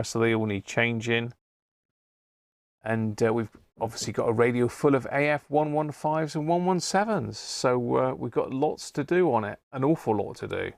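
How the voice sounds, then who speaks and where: A man's voice: medium pitch, vocal fry, no room sound, clean studio sound. A British man hosting a casual live hobby stream, talking in a rambling, matey way. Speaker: host of a live radio-repair stream. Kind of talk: casual livestream chat.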